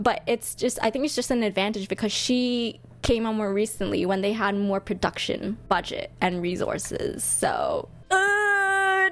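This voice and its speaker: A woman giving casual live-stream reaction commentary in an expressive, gushing, slangy fan style. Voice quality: high-pitched voice. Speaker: streamer hosting a ranking of game character trailers.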